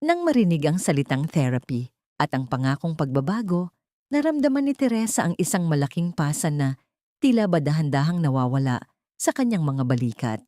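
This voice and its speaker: Low-voiced woman narrating a drama. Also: big pitch swings, brisk, warm tone, no echo, clean studio sound. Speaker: audiobook narrator. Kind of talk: dramatic scripted story narration.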